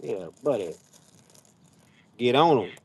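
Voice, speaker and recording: A young adult man with hosts a casual livestream, talking trash to his viewers in an animated, drawling, slangy way. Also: medium pitch, takes it slowly, gravelly, husky, dry recording, low-quality recording. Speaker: livestream host. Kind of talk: casual live chat with viewers. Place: outdoors, frogs nearby.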